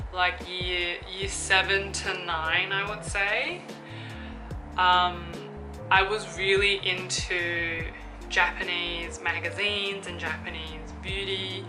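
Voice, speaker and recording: A woman's voice: mid-range voice, rough recording. A woman, chatting casually through a Q&A vlog and thinking aloud with fillers. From Australia. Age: 20s. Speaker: YouTuber. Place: room with an open window. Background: background music.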